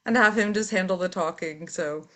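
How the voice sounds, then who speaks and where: A woman with a fairly low voice, a metal vocalist, talks through her story in a casual interview. She is open and unhurried and leans on easy fillers as she goes. Her manner stays relaxed and conversational.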